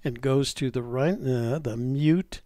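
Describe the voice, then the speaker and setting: An American man in his sixties with a slightly congested voice from a cold, chatting casually and haltingly as a live stream host. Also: medium pitch, mellow, no room sound, studio quality. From New Mexico, United States. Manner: halting, often pausing mid-thought. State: stuffy, getting over a cold. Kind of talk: casual live chat, rambling.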